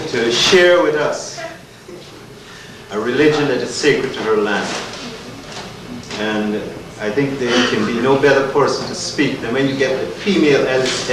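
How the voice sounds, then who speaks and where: A man introduces a speaker with earnest, rhetorical emphasis. His voice is picked up from across a large, very echoey hall with a steady hiss of noise behind. He sounds set back from the microphone. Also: mid-range voice. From Guyana. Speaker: event host. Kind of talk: community lecture introduction. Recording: echoey hall, distant mic, noisy.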